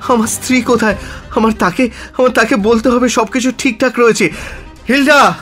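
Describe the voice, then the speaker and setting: A man's voice: extremely high pitch, steady tone, moderate pace, a bit bright, soft background music. A man playing an agitated, emotional British government secretary in a radio drama, stammering and repeating himself with English exclamations. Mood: astonished, overjoyed. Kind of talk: Bengali radio drama acting.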